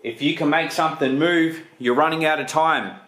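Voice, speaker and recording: A man's voice: a little high, steady tone, moderate pace, a bit bright. A man in his thirties narrating a ghost-hunting vlog on the spot and calling out to spirits as a paranormal investigator. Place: inside an empty, derelict house. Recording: noisy handheld camera audio with clicks.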